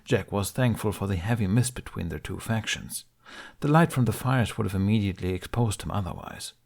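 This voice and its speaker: A man reading a fan fiction aloud as an audiobook, in soft, measured storytelling. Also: on the low side, gravelly. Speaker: podfic reader.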